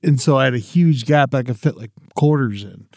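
A man's voice: medium pitch, fairly quick, a little mellow, dry recording, studio quality. A man in his forties co-hosting a podcast, chatting casually in a laid-back, rambling way and laughing often. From Florida. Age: 40s. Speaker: podcast co-host. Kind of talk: casual chat.

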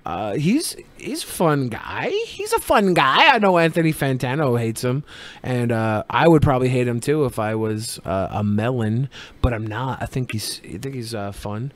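A man's voice: medium pitch, animated, moderate pace. A man co-hosting a podcast, bantering casually and reading out chat messages in a crude, jokey way. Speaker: podcast co-host.

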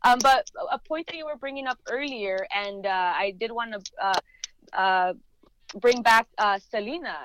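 A woman's voice: fairly high pitch, moderate pace, fairly bright tone, slight background noise, poor audio. A young woman chatting casually on a podcast, talking loosely with many fillers. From United States, Chicano family.

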